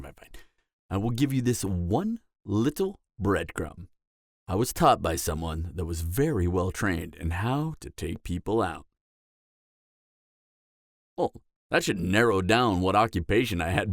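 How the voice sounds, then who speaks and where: A man's voice: fairly low pitch, dry recording, clean studio sound. A man playing a cocky, flirtatious and dangerous vampire in an audio roleplay, teasing the listener with a smug, seductive delivery. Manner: teasing, smug, seductive drawl with dramatic pauses. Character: cocky, flirtatious, dangerous adult male vampire, former killer.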